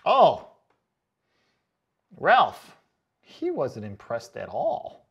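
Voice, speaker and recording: A man hosting a casual vlog, reading out comments in a chatty, joking way with sarcastic asides and drawn-out comic emphasis. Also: medium pitch, huge pitch swings, creaky, breathy edge, dull audio. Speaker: online boot reviewer and video host.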